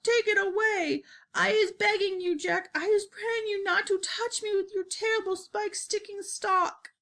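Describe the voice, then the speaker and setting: A woman's voice: medium pitch, sharp tone, exaggerated voice. A woman voicing a huge brutish giant from a children's storybook, screeching, bellowing and pleading in terror. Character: huge brutish man-eating giant, terrified in nightmare. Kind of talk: dramatized children's storybook reading.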